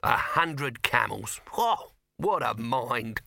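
Casting: male narrator as slick, excitable movie hustler, piercingly forceful, bossy and gushing, pitch jumping about. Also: takes it slowly, gravelly, dry recording, clean studio sound, full character voice. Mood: enthused. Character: pushy, excitable, slick male film promoter.

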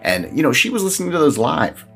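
A man's voice: a little high, very animated, fast talker, creaky, a bit bright. A man hosting a podcast, talking in a chatty, informal way and moving between casual conversation and sponsor reads. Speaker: podcast host. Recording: music behind the voice. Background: background music.

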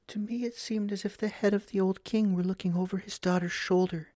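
A man's voice: sits high, fairly even intonation, brisk, warm tone, no room sound, clean studio sound, voice pushed up, close to an everyday voice. A man voicing an earnest little girl in a fairy tale.

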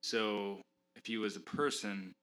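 A man's voice: lowish voice, fairly even intonation, measured pace, fairly bright tone. A man appearing as a podcast guest, answering thoughtfully with hesitant restarts. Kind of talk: casual conversation.

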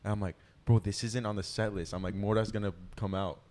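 A man's voice: fairly low pitch, fairly even intonation, fairly quick, darkish tone. A young man co-hosting a podcast, chatting casually with slangy, sweary, exclamatory reactions. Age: young adult. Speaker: podcast co-host. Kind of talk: casual chat.

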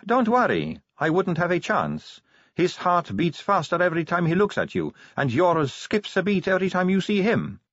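A man's voice: high voice, huge pitch swings, normal speed, a bit bright, no room sound, highs cut off, voice pushed up, exaggerated voice. A man voicing a teasing, self-assured female vampire police officer in an audiobook story. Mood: needling. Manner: breezy, needling, coaxing.

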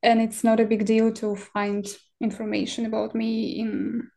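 This is a young woman who answers questions in English, stopping often with small fillers while she hunts for the right word. Her voice sits fairly high yet sounds soft and mellow. She speaks in a plain, earnest way. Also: completely flat delivery, gravelly. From Crimea, Ukraine. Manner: halting, searching for words with many fillers. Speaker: activist guest. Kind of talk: interview answers in a second language.

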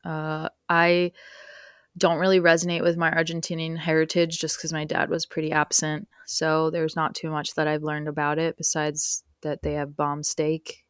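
Low-voiced young woman, casual rambling. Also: completely flat delivery, moderate pace, clean tone, dry recording, studio quality. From United States. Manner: rambling, uses many fillers and "like". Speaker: podcast guest. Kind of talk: casual conversational interview.

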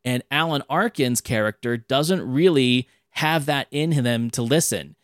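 A man's voice: mid-range voice, unhurried, dry recording, studio quality. A man hosting a podcast, talking casually about an old film and sometimes acting out lines in a raised voice. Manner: animated, acts out quotes in a raised voice. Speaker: podcast host.

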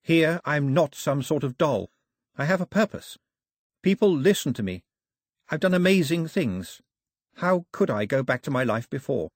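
A man's voice: fairly high pitch, big pitch swings, moderate pace, no echo, clean studio sound, pitched above the performer's own voice. A man reading aloud and voicing a headstrong, fiery young woman who speaks passionately and defiantly. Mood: earnest.

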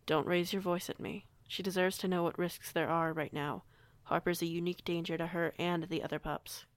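A woman playing a young, kindly, protective werewolf pack alpha in an audio roleplay, measured and gently authoritative. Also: lowish voice, level tone, dry recording, lightly acted. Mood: calm, firm.

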